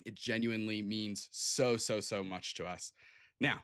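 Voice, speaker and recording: A man's voice: fairly low pitch, steady tone, a little slow, a bit bright, clean tone, no echo, clean studio sound. A man hosting a livestream in a casual, enthusiastic and effusive way, introducing people over a video call. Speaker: host.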